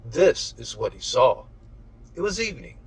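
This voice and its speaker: A man's voice: mid-range voice, big pitch swings, normal speed, vocal fry, slightly rough, rough recording. A man reading his own novel aloud as scripted audiobook narration.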